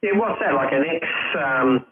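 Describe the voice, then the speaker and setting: A man's voice: a little high, expressive, moderate pace, heavy background noise. A man chatting casually over ham radio, musing and thinking aloud as a fellow hobbyist. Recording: tinny band-limited radio link, background chatter.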